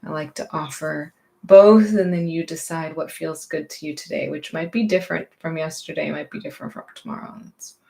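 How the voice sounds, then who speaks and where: A woman teaching gentle movement and meditation in a reassuring, permissive, unhurried manner. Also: low-pitched, fairly even intonation, creaky.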